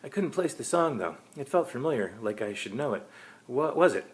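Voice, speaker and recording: A man voicing a brusque, sharp-tongued woman psychic in her late sixties for a short story. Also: medium pitch, big pitch swings, brisk, voice pushed up, close to an everyday voice. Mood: chatty, curious.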